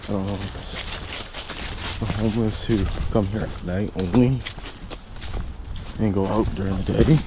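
A man with a fairly low voice rambles casually into a handheld phone camera as he walks a dog through the woods. The outdoor recording carries clear background noise under his talk. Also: steady tone, moderate pace, long pauses. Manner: rambling, casual, swears, talks to camera while walking a dog. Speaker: vlogger exploring places. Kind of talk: casual walking vlog.

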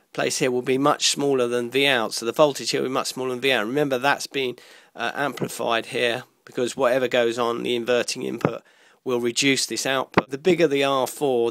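Gravelly man giving an electronics tutorial. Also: mid-range voice, fairly bright tone. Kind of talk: informal step-by-step technical explanation.

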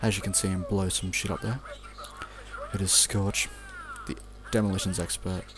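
A man's voice: deep voice, level tone, moderate pace, music in the background. A young Australian man giving casual, off-the-cuff video game commentary, rambling in an offhand mutter and swearing now and then. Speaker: let's play commentator.

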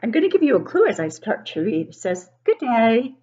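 A woman in her sixties reading a picture book aloud to young children, expressive and encouraging, stopping to put questions to them. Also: mid-range voice, quick pace, highs cut off. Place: living room at home, indoors.

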